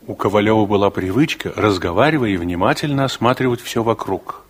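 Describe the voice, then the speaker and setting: An actor playing a man who recalls his uneasy youth, narrating a 1960s radio play in a measured, confessional way. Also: lowish voice, husky. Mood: reflective.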